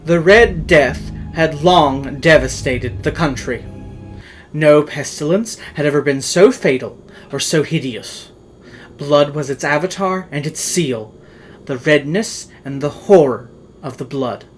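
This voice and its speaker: A narrator reading a horror tale in a theatrical, dramatic way with drawn-out emphasis. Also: mid-range voice, soft background music.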